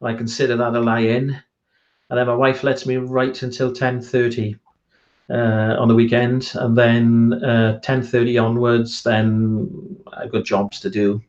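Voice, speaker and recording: A Welsh man chats hesitantly over a video call, halting often with ums and restarting his sentences. His voice sits at an ordinary pitch. The call audio comes through somewhat dull and lo-fi. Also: little pitch movement, a little mellow. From Wales. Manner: hesitant, many ums and restarts, self-deprecating. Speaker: author guest. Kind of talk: casual online chat interview.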